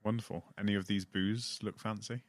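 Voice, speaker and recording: A man's voice: on the low side, steady tone, brisk. A man chatting casually as a player in a tabletop role-playing game.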